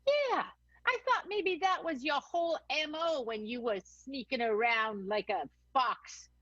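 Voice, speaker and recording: A woman's voice: sits high, measured pace, fairly bright tone, dry recording, highs cut off. A woman playing a hardened, probing 1920s vaudeville theatre owner in an improvised radio soap. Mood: guarded.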